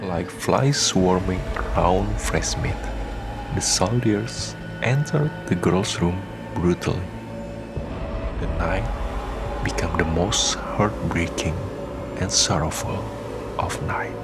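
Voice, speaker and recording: A man narrating a scripted radio drama in a solemn, measured storytelling manner. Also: low-pitched, creaky, loud music behind the voice.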